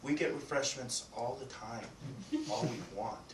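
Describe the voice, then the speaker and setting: A man's voice: medium pitch, measured pace, lightly acted. A boastful schoolboy, played by a man in readers theatre. The voice sits distant from the mic in a roomy space with faint noise. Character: boastful schoolboy bragging about free desserts.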